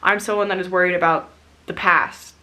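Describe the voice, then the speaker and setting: A woman's voice: lowish voice, steady tone, a little fast, pushed and bright. A young woman in her twenties talking casually to camera, chatty and candid, with easy laughs and casual swearing. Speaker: vlogger. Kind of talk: casual talking-head chat.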